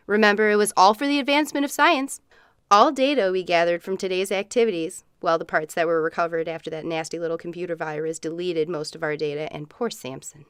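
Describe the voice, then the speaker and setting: An actress playing a falsely cheerful, sinister corporate official running trials in a comic sci-fi radio play. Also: female voice, mid-range voice, clean tone, dry recording, studio quality, close to an everyday voice. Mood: mock solemn. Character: chirpy sinister corporate woman running inhumane product trials.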